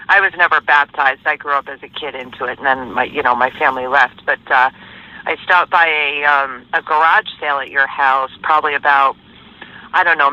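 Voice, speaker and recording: A woman caller speaks politely and a little hesitantly in a very bright, sharp voice. The recording is a dull, lo-fi phone call. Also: deep voice.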